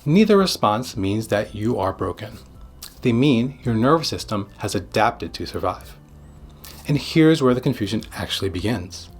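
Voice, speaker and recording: A man giving scripted educational narration as a psychiatrist, speaking in short, deliberate, emphatic phrases. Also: fairly low pitch, big pitch swings, husky. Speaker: psychiatrist presenting an explainer video.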